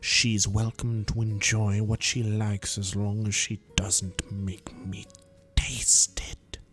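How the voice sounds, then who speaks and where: A man's voice: lowish voice, flat delivery, takes it slowly, a bit bright, faint music behind. A man voicing a sneering older male wizard teacher while reading game narration, drawling out mocking titles.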